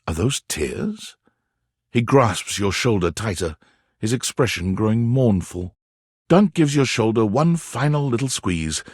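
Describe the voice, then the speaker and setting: An actor narrating a video game in the second person, in a wry storytelling manner. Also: male voice, medium pitch, husky, no room sound, clean studio sound. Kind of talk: scripted narration.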